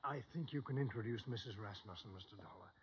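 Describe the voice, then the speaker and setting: An actor playing a polite, deferential male butler in a 1950s radio drama, formal and soft-spoken. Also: fairly low pitch, fast, noisy background, close to an everyday voice. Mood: courteous. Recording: muffled old radio recording, music behind.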